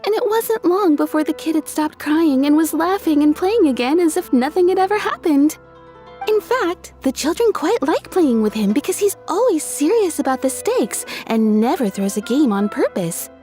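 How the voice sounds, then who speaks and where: A woman playing a cheerful, kindly townswoman in a video game, explaining things like an expressive storyteller. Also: high-pitched, normal speed, soft background music. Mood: earnest. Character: cheerful, kindly townswoman telling local anecdotes.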